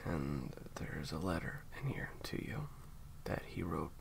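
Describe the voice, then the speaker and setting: A man playing a grieving, guilt-ridden secret agent in an audio roleplay, choked up and halting, sometimes dropping toward a whisper. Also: extremely low pitch, sing-song, creaky, heavily acted. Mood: subdued, sorrowful. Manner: halting, faltering, breaking into whispers. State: upset, choked up with grief. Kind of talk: intimate audio roleplay acting.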